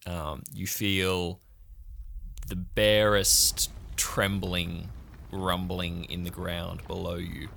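A man narrating a tabletop roleplaying game as game master, in a measured, deliberate way. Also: deep voice, little pitch movement, vocal fry, a bit bright, a little hiss or hum. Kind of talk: improvised second-person scene narration for a tabletop game.

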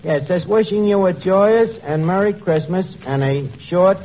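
An actor playing a wisecracking, uneducated tavern manager with a New York accent and slangy, word-mangling patter in a 1940s radio comedy. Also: male voice, sits high, normal speed, lightly acted. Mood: breezy, wisecracking. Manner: streetwise malapropisms, ungrammatical slangy patter. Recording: muffled old radio, noisy, studio audience.

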